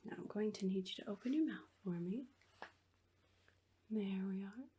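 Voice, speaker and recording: A woman playing a sinister asylum nurse in a horror ASMR roleplay, whispering in a breathy, coaxing, falsely reassuring way. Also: medium pitch, normal speed, warm tone, a little hiss or hum, close to an everyday voice. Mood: soothing, clinical. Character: sinister asylum nurse, soothing on the surface, quietly menacing.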